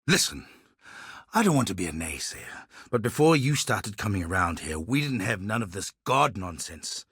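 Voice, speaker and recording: An actor playing a sly, world-weary male barber and informant in a video game, husky and wry in his phrasing. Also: lowish voice, big pitch swings, moderate pace, fairly bright tone, no echo, clean studio sound, heavily acted. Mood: exasperated, reproachful. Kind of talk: scripted video game character dialogue.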